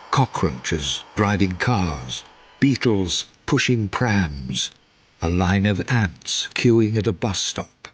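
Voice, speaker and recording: A man narrating a radio drama in a measured, deliberate, scripted style. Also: fairly low pitch, breathy edge, no room sound.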